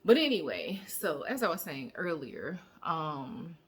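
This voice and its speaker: A woman vlogger chatting casually to her viewers, rambling with fillers and restarts, her voice a little hoarse. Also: low voice, sing-song, unhurried, slight background noise. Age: adult student. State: slightly hoarse. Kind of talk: casual personal vlog update.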